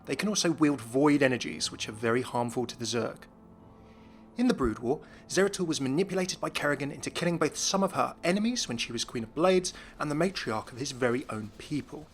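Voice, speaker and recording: A man's voice: medium pitch, lively intonation, fairly quick, slight background noise. A man giving explanatory narration about video game lore. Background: background music.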